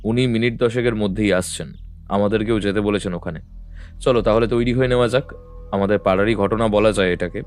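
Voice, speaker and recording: A man voicing a calm, composed detective in an audio drama, speaking in a measured, matter-of-fact way. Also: fairly low pitch, faint music behind, close to an everyday voice. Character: calm, composed male detective, middle-aged. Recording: dry close mic.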